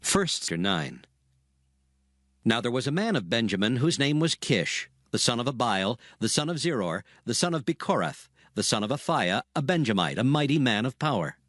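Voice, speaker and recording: A man reading scripture narration in a measured, formal manner as storyteller. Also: mid-range voice, sing-song, a bit bright, no room sound, clean studio sound. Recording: faint music behind the voice.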